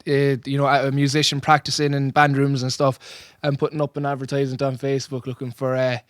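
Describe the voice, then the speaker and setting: A man's voice: mid-range voice, completely flat delivery, quick pace, no echo, studio quality. A young man with a Cork Irish accent, a singer chatting casually about his band, rambling and laughing often. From Ireland. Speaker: singer and bandleader. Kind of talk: casual interview chat.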